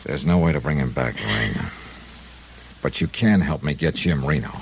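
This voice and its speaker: An American actor playing a tough, cool investigator in a 1950s radio detective drama, clipped, terse and world-weary. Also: male voice, rumbling low, animated, normal speed, vocal fry, lo-fi sound, a little hiss or hum, exaggerated voice. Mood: cool, hard. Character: tough, cool insurance investigator, male, hard-boiled. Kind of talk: 1950s detective radio drama acting with first-person narration. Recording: vintage radio drama recording.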